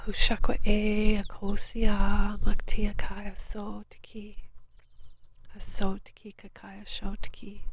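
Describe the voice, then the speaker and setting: A woman with a stuffed-up, nasal voice, outdoors on a beach, guiding a spiritual session in soft whispered chants and calm talk. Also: mid-range voice, a little hiss or hum. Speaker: spiritual guide. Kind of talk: guided light language session.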